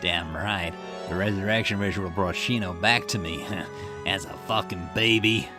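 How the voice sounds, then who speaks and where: A ruthless, grief-obsessed man from a game, read aloud by a man in a low voice that snarls and flares into outbursts. Game music plays clearly underneath. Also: moderate pace, natural voice. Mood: bitter. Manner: snarling, defensive, rising to outbursts. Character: bitter grieving middle-aged man, obsessive and ruthless. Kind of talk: reading game dialogue aloud.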